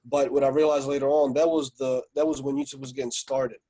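A man in his forties who grew up in Slovakia talks through his story as a podcast guest. His voice is mellow and dark, and his delivery stays flat and even. He rambles easily, with plenty of fillers. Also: medium pitch, fairly quick. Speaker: guest, music entrepreneur. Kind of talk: casual podcast interview.

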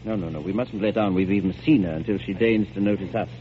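A man's voice: low voice, quick pace, close to an everyday voice. An actor playing a tender, anxious father in a radio drama, with a soothing, hesitant storytelling manner. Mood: flustered. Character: tender, anxious father spinning fanciful tales. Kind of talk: 1940s radio drama acting. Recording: muffled old radio recording, faint noise.

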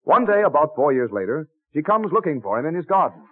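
Higher-pitched male narrator, vintage radio. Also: big pitch swings, no room sound. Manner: formal, measured storytelling. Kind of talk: mid-century American radio scripted narration. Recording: tinny old radio recording with music. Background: music.

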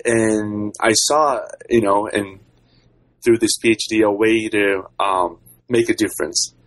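A man's voice: lowish voice, measured pace, rough recording. A young man from Brazil, giving conversational answers as an interview guest and researcher. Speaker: podcast guest, doctoral researcher.

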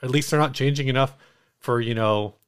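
A man with a clean, resonant voice at a medium pitch talks as a podcast co-host. His delivery is casual and rambling, with phrases loosely built and often restarted. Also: brisk, clean tone.

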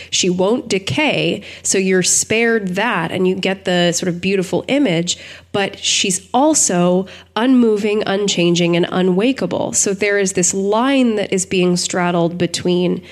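A woman with a mid-pitched voice speaks as a podcast co-host. She lays out her analysis reflectively, in eloquent and carefully built phrases. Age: adult. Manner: articulate, eloquent, thoughtful phrasing.

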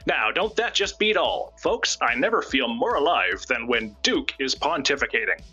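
A man's voice: medium pitch, very animated, normal speed, sharp tone, breathy edge, muffled recording, faint music behind, full character voice. A man voicing a folksy, manically cheerful and gleefully macabre radio personality in old-time host patter. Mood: cheerfully macabre.

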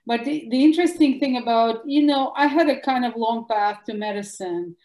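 A woman with a Russian accent, a guest doctor answering interview questions in an animated, emphatic way. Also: on the high side, moderate pace. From former Soviet Union. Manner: animated, emphatic, bursts of louder words. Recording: echoey room.

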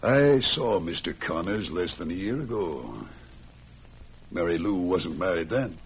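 Husky, low-voiced fatherly warden. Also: lively intonation, exaggerated voice. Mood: earnest, persuading. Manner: persuasive, measured, often addresses listener by name. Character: earnest, fatherly Old West prison warden, firm but kind. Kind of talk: old-time radio western acting.

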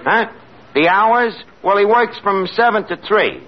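Brash new york barkeep guy, highish animated voice, wisecracks, bad grammar, crackly muffled 40s radio comedy. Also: unhurried. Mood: brisk, businesslike. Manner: wisecracking, mangles grammar and fancy words. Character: brash lowbrow tavern manager with pretensions to refinement. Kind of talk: 1940s radio comedy acting. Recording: muffled noisy old radio recording, audience.

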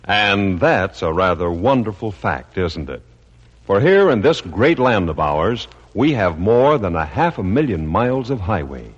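A man's voice: lowish voice, very animated, a little slow, slightly rough. A man announcing from a script on 1950s radio, with polished, formal phrasing. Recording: dull, echoey old radio recording.

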